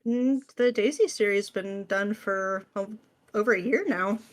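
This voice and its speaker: A woman's voice: medium pitch, measured pace. A woman speaking as an interview guest, explaining things informally and often voicing her own thought process. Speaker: podcast guest, script writer. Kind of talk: casual interview chat.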